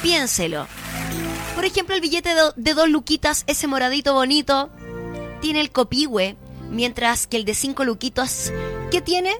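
A woman's voice: sits high, animated, normal speed, over music. A Chilean woman presenting a chatty segment, playful and full of questions to the listener. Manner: playful, talks straight to the listener with lots of questions.

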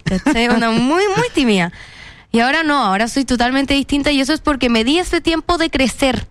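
A young woman with a Chilean accent answers interview questions in a chatty, self-mocking way. Her voice sits a little high and sounds smooth and resonant. The recording is close and dry. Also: lively intonation, brisk, dry recording. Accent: Chilean Spanish. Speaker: guest. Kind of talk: casual interview chat.

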